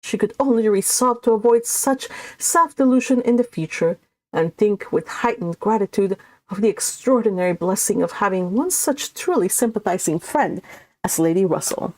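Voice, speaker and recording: A woman hosting a book read-along, talking casually and haltingly, and reading aloud from a classic novel. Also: mid-range voice, lively intonation, a little mellow, poor audio.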